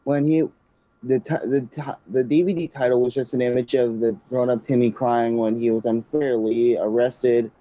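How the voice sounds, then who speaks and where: A man's voice: mid-range voice, fairly even intonation, normal speed, dry recording, dull audio. Stumbling young man reading aloud. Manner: stumbling, restarting read-aloud with laughs. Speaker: host reading a story aloud. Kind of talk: casual storytime reading with commentary.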